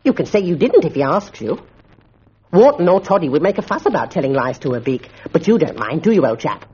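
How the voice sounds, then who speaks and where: Squeaky stammering fat schoolboy, greedy and boastful, pitch jumping wildly; dull husky recording. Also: male voice, very animated, brisk, a little mellow, heavily acted. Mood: wheedling.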